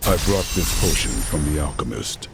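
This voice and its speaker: An actor playing a stoic wandering hero who speaks calmly, tersely and with determination. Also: male voice, a bass voice, normal speed, vocal fry, loud music behind the voice, exaggerated voice. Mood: resolute.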